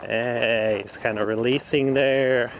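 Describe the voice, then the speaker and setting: Male horse trainer, unhurried mid-pitched delivery, short instructional phrases while riding, faint outdoor noise behind. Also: fairly even intonation. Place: outdoors in an open riding arena.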